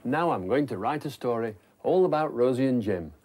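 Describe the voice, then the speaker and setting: A man's voice: medium pitch, lively intonation, fairly quick, background noise. A middle-aged man, presenting a children's show in a chatty way.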